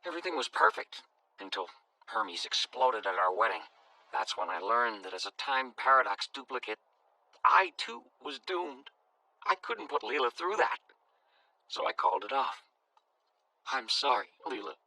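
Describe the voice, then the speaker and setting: A man's voice: mid-range voice, sing-song, moderate pace, pauses often, pushed and bright, heavily acted, rough recording. An actor playing a goofy, earnest young male delivery boy, sheepish and heartfelt. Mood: wistful.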